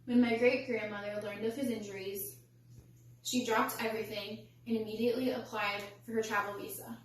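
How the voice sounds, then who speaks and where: A young woman delivering a rehearsed storytelling speech in a measured, formal, carefully enunciated way. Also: fairly high pitch. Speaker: student public speaker. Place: indoor echoey room, recorded from a distance. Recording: echoey room, distant mic, faint hum.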